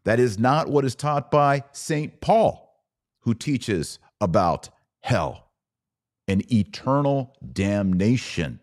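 A man's voice: medium pitch, animated, slow pace. A man hosting a podcast, giving a blunt, informal religious commentary in an emphatic, preachy way. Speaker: podcast host.

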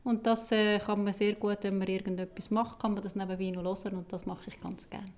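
A woman's voice: mid-range voice, level tone, fairly quick, a little mellow. A woman giving spontaneous answers as an interviewee.

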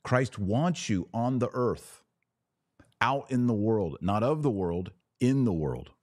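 An American man hosting a podcast, giving religious commentary off the cuff in an emphatic, preachy way with deliberate pauses and repetition. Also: on the low side, lively intonation, darkish tone, no echo, studio quality. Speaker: podcast host.

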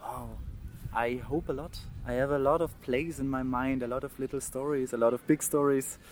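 A man's voice: mid-range voice, steady tone, moderate pace, a little hiss or hum. German-accented man speaking earnestly. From East Germany, Saxony. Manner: earnest, restarts words, laughs often. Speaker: guest, mime artist. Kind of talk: casual interview.

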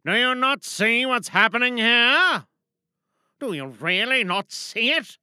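A woman's voice: on the high side, lively intonation, slow, sharp tone, smooth, no echo, studio quality, full character voice. A woman playing a stern, paranoid female spaceship captain in a dramatic monologue, commanding and pleading by turns with theatrical urgency. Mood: bitter, accusing.

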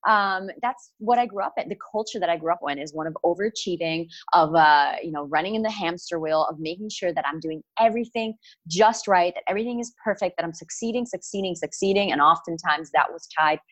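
A woman's voice: mid-range voice, no room sound. A young woman from Italy with an American accent, reflective and full of fillers as she tells her story in an interview. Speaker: guest, writer and coach.

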